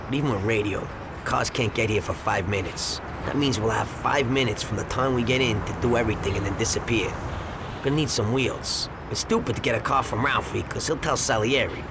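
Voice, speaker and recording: A man's voice: mid-range voice, fairly quick, lightly acted. A man playing a blunt, streetwise gangster who schemes and gives instructions in a video game cutscene. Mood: businesslike scheming. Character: scheming, brisk gangster planning a robbery. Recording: noisy street ambience with vehicles.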